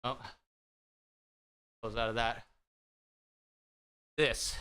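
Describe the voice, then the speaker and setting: A man hosting a live stream, chatting casually with viewers in a bright, higher-pitched voice, rambling and self-deprecating with lots of fillers. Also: level tone, drawn-out words, clean tone. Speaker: livestream host. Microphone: desk streaming mic through an audio mixer.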